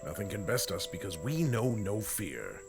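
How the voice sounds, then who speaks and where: Stoic giant warrior for a comic dub, very deep and gravelly, lively in pitch, measured and grave. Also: male voice. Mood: gentle. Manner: slow, solemn, measured delivery. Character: towering stoic male space-marine warrior, gentle but dutiful. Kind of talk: fan comic dub voice acting. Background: music score.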